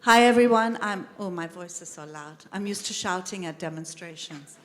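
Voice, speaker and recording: Woman, activist spokesperson, lower pitch, panel introduction, reverberant hall. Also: moderate pace, fairly bright tone. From Iran. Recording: echoey hall, amplified voice.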